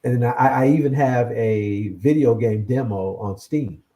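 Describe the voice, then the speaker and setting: A man's voice: medium pitch, unhurried, dark tone. A man chatting casually as an interview guest, a creator who often repeats his words. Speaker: guest, comic and film creator.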